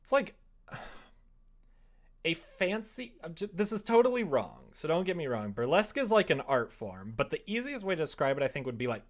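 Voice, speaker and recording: A young man chats casually on a livestream while he works, thinking aloud in a halting way and often trailing off. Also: a little high. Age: young adult. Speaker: livestreamer writing a visual novel. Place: small room at home, indoors.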